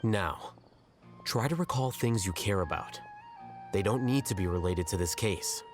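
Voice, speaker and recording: An actor playing a blunt, aloof male scholar in a game, measured and condescending. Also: lowish voice, music in the background, close to an everyday voice. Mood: cool. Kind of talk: game voice acting.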